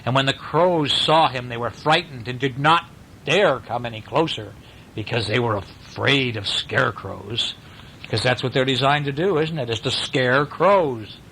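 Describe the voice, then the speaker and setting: A man narrating a bedtime story in an animated, informal way, as if to a listener close by. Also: mid-range voice, unhurried, slight background noise, poor audio.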